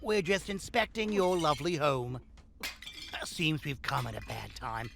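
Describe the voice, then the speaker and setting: Theatrical, gravelly looter boss. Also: male voice, fairly high pitch, very animated, a little slow, noisy background, full character voice. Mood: mock-friendly. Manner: theatrical, mock-courteous. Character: callous male looter leader, mockingly polite, quick-tempered. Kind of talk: horror audio drama acting.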